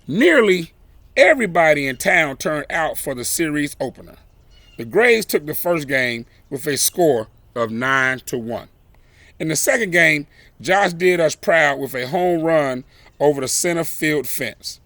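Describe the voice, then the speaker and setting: Slow, bright-voiced man reading a story aloud. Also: a little high, lively intonation, dry recording. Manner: deliberate, emphatic phrasing with dramatic pauses. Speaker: volunteer storyteller reading a children's book. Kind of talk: expressive read-aloud storytelling with brief asides.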